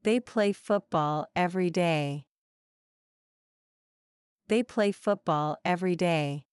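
Very slow, crisp woman reading sentences. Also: on the low side, no echo, studio quality. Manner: careful, evenly paced repetition. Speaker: English sentence reader. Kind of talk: slow, clear example-sentence reading for learners.

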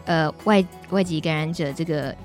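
A woman's voice: deep voice, moderate pace. A woman with a Taiwanese Mandarin accent hosting a radio show in a casual, conversational interviewing style. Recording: music playing behind the voice.

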